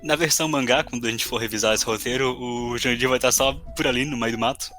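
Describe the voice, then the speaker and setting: A man's voice: mid-range voice, steady tone, quick pace, sharp tone, faint music behind. A Brazilian man chatting casually as a podcast co-host, teasing and wry in his commentary.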